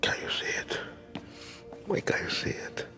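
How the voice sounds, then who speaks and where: An actor playing an older, dying dictator in a video game, menacing and controlling, with a deliberate, theatrical delivery. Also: male voice, whispered, music in the background, lightly acted. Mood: desperate anger. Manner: deliberate, theatrical, swinging from hushed to explosive. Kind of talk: dramatic video game cutscene acting.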